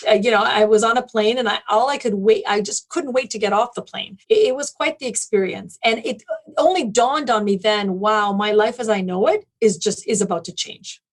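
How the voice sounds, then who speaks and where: A woman's voice: mid-range voice, moderate pace. A woman speaking as an interview guest, recounting things in an articulate, detailed, conversational way. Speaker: interview guest, event planning business owner.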